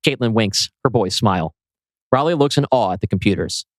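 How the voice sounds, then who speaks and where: A man's voice: lowish voice, lively intonation, brisk, dry recording. A man narrating a screenplay dramatically as its writer, presenting stage directions and scene changes. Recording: music playing behind voice.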